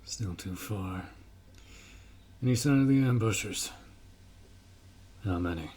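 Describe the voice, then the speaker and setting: A man's voice: on the low side, warm tone, slightly rough. A man playing a stern, honorable orc warrior commander in a fantasy audio roleplay, speaking in a formal, commanding way. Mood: hushed, commanding. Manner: formal, commanding, measured delivery.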